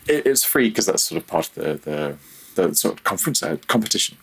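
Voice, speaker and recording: A man's voice: sits low, very animated, a little fast, creaky, husky. A man speaking casually and reflectively as a podcast guest, hesitant and self-deprecating in how he phrases things. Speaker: podcast guest, freelance audio developer.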